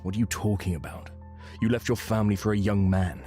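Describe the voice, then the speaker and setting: A man acts as an indignant family man in a comic manga dub. His voice is very low and stern, accusing, with music behind it. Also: fairly even intonation, brisk, warm tone, close to an everyday voice.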